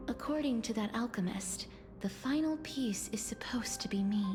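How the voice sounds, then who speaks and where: An actress playing a gentle, solemn young woman bound to death, speaking in a measured, soft way for a video game. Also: on the high side, over music, lightly acted. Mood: solemn, wistful. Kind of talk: dramatic video game voice acting.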